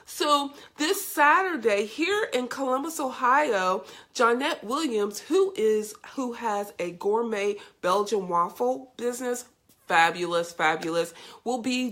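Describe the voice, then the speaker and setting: A woman's voice: mid-range voice, a bit bright. A woman hosting a casual live stream, upbeat and effusive, greeting viewers by name, with a congested, scratchy voice from a cold. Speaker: host of a live video show.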